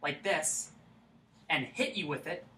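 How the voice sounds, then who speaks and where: An actor in a staged reading playing an earnest, naive, childlike man, with a halting, literal-minded way of talking. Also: on the high side, bright, forceful tone. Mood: earnest, puzzled. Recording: echoey room, distant mic, faint noise.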